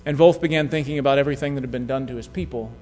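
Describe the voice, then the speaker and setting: A man preaching a sermon, telling a story in a measured way that drops to a hush at key moments. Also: mid-range voice. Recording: long hall reverb.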